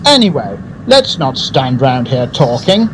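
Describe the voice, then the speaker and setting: A man's voice: medium pitch, very animated, measured pace, background noise. An actor playing an earnest, effusive advertising man and gourmet in a radio drama, speaking in a grandiose, theatrical way. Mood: earnest, wistful.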